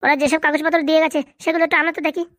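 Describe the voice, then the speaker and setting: A woman voicing a sharp-tongued grown daughter in a comedy cartoon, sarcastic and nagging. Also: high voice, level tone, very fast, no echo, clean studio sound. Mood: scolding, sarcastic.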